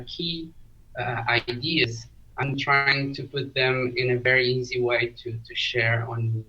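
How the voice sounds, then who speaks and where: Slow-talking Portuguese man on a call. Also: medium pitch, sharp tone. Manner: halting, searching for words, non-native phrasing. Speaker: community meeting participant. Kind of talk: casual online meeting update. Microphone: video call. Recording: dull lo-fi call audio.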